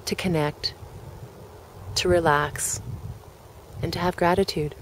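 A woman leading a guided relaxation, speaking gently in measured phrases with pauses between them. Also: lowish voice, slight background noise. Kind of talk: guided relaxation, meditation narration.

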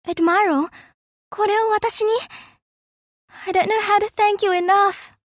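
A woman's voice: very high voice, steady tone, slow pace, no room sound, studio quality. A woman voicing a gracious, refined lady in an anime game, formal and elegant. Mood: touched. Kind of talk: Japanese anime game voice acting.

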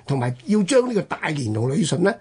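A man with a Hong Kong Cantonese accent hosts a history talk. His voice is very rough and husky, and his pitch moves widely as he stresses points and stretches his phrase endings like a storyteller. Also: big pitch swings, darkish tone. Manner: emphatic storyteller with drawn-out phrase-ending particles. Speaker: radio host. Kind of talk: conversational history storytelling on radio.

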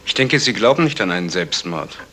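An actor playing a dry, sceptical police sergeant in a radio crime play. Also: male voice, low voice, very animated, fairly quick, pushed and bright, full character voice. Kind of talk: 1970s German radio crime play acting. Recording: noisy vintage radio play recording.

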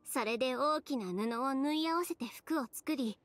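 A woman playing a confident, blunt and determined young fashion designer in an anime video game, speaking in a brisk, decisive manner. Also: sits high, close to an everyday voice. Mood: matter-of-fact. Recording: game music behind the voice.

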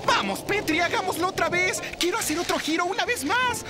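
An actor voicing a timid, excitable young male feathered dinosaur in a dubbed cartoon, hesitant and jittery. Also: very high-pitched, a little fast, over loud music. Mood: excited. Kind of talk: animated cartoon dubbing.

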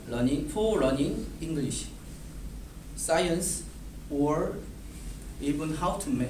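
A man in his thirties with a Korean accent, a native Korean speaker reading a prepared class speech, halting and restarting words. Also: fairly high pitch, darkish tone. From South Korea. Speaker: student giving a speech. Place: classroom in front of a whiteboard. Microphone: far camera. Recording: roomy, distant, noisy classroom audio.

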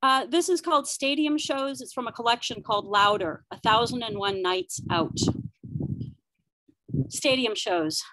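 A woman's voice: a little high, unhurried, pushed and bright, dry recording, poor audio. A woman reading her narrative poetry aloud at an online open mic.

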